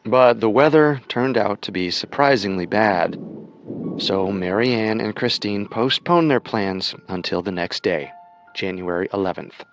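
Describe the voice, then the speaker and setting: A man narrating a scripted true-crime story in a measured, explanatory way. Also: on the low side. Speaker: co-host narrator. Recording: music under the voice.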